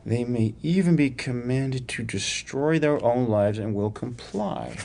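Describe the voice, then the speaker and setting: An actor playing a wry, probing academic research professor in a radio drama, with a dryly sardonic, precise way of talking. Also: male voice, mid-range voice, measured pace, creaky, a little mellow, a little hiss or hum. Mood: serious, exacting. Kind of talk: radio theatre acting, dramatic dialogue.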